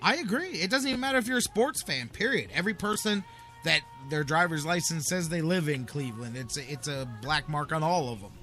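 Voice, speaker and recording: A man's voice: a little high, animated, fairly quick, pushed and bright, soft background music. An American man hosting casual sports talk radio, emphatic and slangy, repeating phrases to drive his points home.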